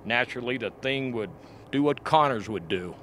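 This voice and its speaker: Radio play doctor, male actor: earnest, deliberate man of science, mid-range voice, faint background noise. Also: mid-range voice, lively intonation, fairly bright tone, natural voice. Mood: intense, grim. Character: grave, reasoning expedition doctor and scientist.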